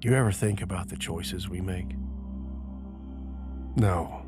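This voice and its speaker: A deep-voiced man delivers dramatic scripted narration for an audio drama. He speaks slowly and broodingly, setting phrases apart with deliberate pauses. Music plays clearly behind him.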